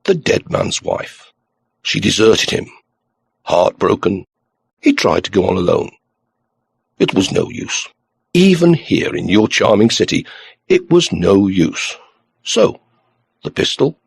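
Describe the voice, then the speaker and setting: A man voicing a steady male police inspector in an audiobook, polite and businesslike. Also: fairly low pitch, takes it slowly, creaky, long pauses, slightly rough, dry recording, heavily acted. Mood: measured.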